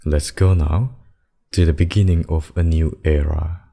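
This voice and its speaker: A man voicing a possessive demon king and lover in a scripted ASMR roleplay, speaking deliberately and intimately. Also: extremely low pitch, fairly even intonation, vocal fry, dark tone, exaggerated voice. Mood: smug, possessive. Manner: slow, deliberate, intimate addresses with pet names. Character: possessive demon king, menacing abductor turned tender secret lover.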